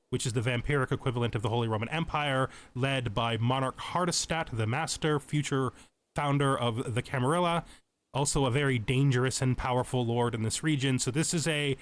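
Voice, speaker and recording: Male let's play host, mid-pitched, explanatory tutorial commentary that thinks out loud. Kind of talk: tutorial-style game commentary.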